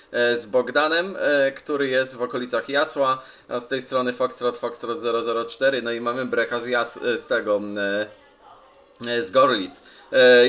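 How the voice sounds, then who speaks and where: A man talking casually as a radio operator on a contact, with a hesitant, halting delivery, recorded on a phone indoors. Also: medium pitch, a bit bright. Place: small indoor room at home. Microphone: handheld phone filming the radio. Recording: dull, lo-fi phone video sound.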